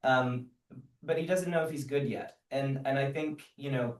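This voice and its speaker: An echoey recording catches a man some way from the microphone. He is an actor being interviewed, talking casually and reflectively in a medium-pitched voice, often halting, with a self-deprecating manner. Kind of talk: casual thoughtful interview talk. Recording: echoey room, distant microphone.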